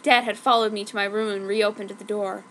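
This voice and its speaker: A woman's voice: mid-range voice, little pitch movement, brisk, a bit bright. A woman narrating fan fiction in a theatrical, mock-dramatic reading style. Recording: clearly noisy home recording.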